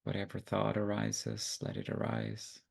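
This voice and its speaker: A man guiding a meditation, giving gentle, unhurried instructions with long pauses. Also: on the low side, completely flat delivery, creaky, husky. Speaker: meditation guide. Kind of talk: guided meditation.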